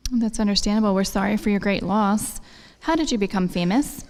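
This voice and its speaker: A woman's voice: mid-range voice, fairly quick, a little mellow. A woman hosting a scripted interview show, asking polite, formal questions, recorded in an echoey hall. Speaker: show host.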